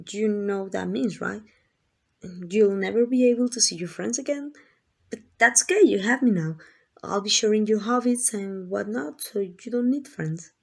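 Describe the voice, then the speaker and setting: A woman's voice: medium pitch, gravelly, breathy edge. A woman playing a lovesick, possessive female kidnapper in an intimate ASMR roleplay, doting and rambling in a flustered, coaxing way. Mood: infatuated, possessive. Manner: coaxing, rambling, hesitant asides.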